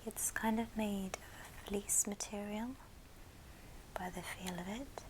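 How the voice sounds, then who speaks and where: A woman whispering gently and breathily in an unhurried ASMR show-and-tell style. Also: medium pitch, steady tone, background noise. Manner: gentle, unhurried, soft-spoken. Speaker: ASMR video creator.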